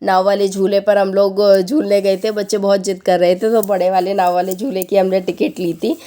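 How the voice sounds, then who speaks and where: A woman's voice: medium pitch, level tone, mellow. Woman vlogger with a sore throat. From Lucknow, India. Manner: chatty, lists prices with frequent hesitant pauses. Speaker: family vlogger. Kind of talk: voice-over narration of a family outing.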